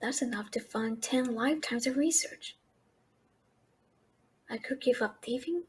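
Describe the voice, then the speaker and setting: Woman acting as young fantasy thief-alchemist, captive, high voice, unhurried, feisty, sassy, incredulous, audio roleplay. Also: natural voice. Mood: tempted, wondering. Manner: expressive, incredulous, sassy, questioning. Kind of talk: fantasy audio roleplay, one-sided dialogue to listener.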